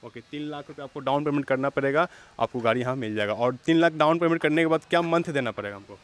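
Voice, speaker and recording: A man's voice: fairly high pitch, fast. A young man hosting an upbeat walk-through vlog at an outdoor used-vehicle lot, echoing the seller's answers back. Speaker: vlogger host. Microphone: handheld lapel-style mic.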